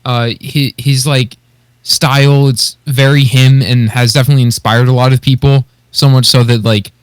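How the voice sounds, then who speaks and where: A man's voice: medium pitch, flat delivery, moderate pace, clean tone, no room sound. A man co-hosting a podcast in casual conversation, agreeable and a little hesitant in how he talks. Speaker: podcast co-host. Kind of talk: casual podcast discussion of beatboxing competitors.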